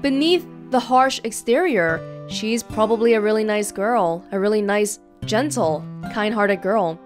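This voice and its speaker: A woman's voice: mid-range voice, a little slow. A woman hosting a let's play, reading a visual novel aloud expressively and adding her own reactions. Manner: expressive, acting out dialogue. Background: soft game music with guitar.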